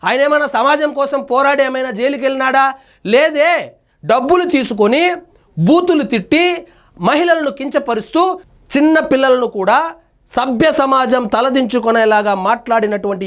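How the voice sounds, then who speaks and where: Male news analyst, extremely high pitch, emphatic, indignant, opinionated commentary. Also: animated, moderate pace. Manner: indignant, emphatic, rhetorical questioning.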